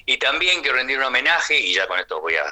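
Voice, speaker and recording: Bright-voiced older Argentine man reminiscing. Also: mid-range voice, very animated, fast talker. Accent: Rioplatense Argentine. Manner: rambling, chatty, frequent question tags. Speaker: theatre actor and radio guest. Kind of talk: conversational radio reminiscence.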